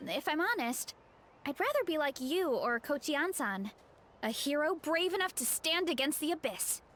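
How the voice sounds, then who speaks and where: A bashful young champion eater. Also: female voice, sits high, big pitch swings, moderate pace, fairly bright tone, slight background noise. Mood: modest. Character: modest young woman, big-eating contest champion. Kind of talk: game cutscene voice acting.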